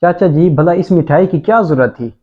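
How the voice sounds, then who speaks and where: A man's voice: a little high, faint music behind, lightly acted. Man as a helpful villager, thin phone-like sound. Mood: respectful, warm. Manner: polite, reassuring. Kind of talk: moral-story video drama acting.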